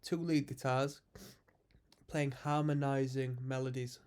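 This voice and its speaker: A man's voice: medium pitch, little pitch movement, takes it slowly, a little mellow, clear, resonant tone. Congested man reacting to music. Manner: chatty, musing, explains music terms. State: congested from a cold. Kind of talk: casual reaction commentary.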